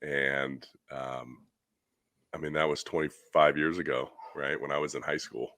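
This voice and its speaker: A man's voice: a bass voice, steady tone, unhurried, sharp tone. A man in his forties co-hosting a podcast while under the weather, telling stories in a laid-back way. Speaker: co-host.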